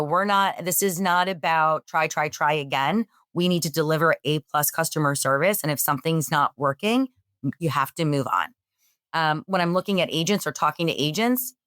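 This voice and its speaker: A woman answering interview questions in an energetic, emphatic way; she says she has a loud voice. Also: on the low side, dry recording, clean studio sound. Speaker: real estate executive guest.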